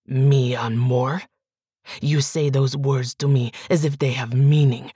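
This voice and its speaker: A woman's voice: steady tone, takes it slowly, husky, no echo, clean studio sound, exaggerated voice. Woman playing a cold, menacing jealous man. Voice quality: low, quiet, sometimes whispered.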